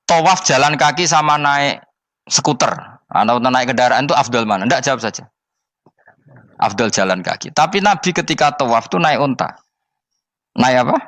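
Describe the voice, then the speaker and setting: A man from Indonesia with a Javanese accent, preaching in a relaxed, joking, storytelling way, often asking rhetorical questions and repeating his points. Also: fairly high pitch, dry recording. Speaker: Islamic preacher. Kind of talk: sermon.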